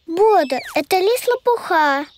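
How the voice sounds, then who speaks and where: Tiny, very high-voiced cartoon creature. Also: female voice, lively intonation, measured pace. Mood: helpful. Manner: simple, instructive, earnest. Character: small childlike creature pupil, patient and helpful. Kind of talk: animated children's cartoon voice acting.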